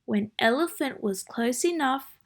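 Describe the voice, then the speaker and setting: A woman reading a children's storybook aloud with a deliberate, sing-song rhyming delivery. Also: high voice, animated. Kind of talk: read-aloud storybook narration.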